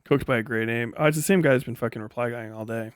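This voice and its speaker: A man's voice: mid-range voice, quick pace, clean tone, dry recording, clean studio sound. A man hosting a livestream, chatting casually and answering viewers in a blunt, heavily profane way. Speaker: livestream host and commentator.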